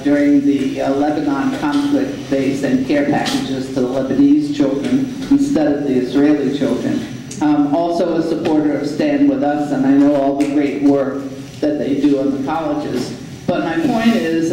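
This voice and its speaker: A man's voice: fairly high pitch, dark tone, slight background noise. A man asking a long question from the audience floor, hesitant and full of fillers. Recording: echoey hall, voice far from mic.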